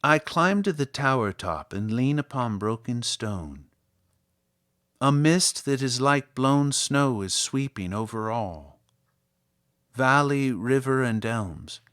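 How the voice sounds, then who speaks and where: A man reciting literary poetry in a measured, solemn manner with deliberate phrasing. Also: medium pitch, animated, a bit bright, clear, resonant tone, no room sound, clean studio sound. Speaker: poetry reader.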